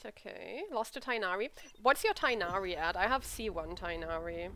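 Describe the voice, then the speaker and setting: A woman's voice: mid-range voice, normal speed, pushed and bright, a little hiss or hum. A woman streaming a game with casual, informal commentary, joking and blunt.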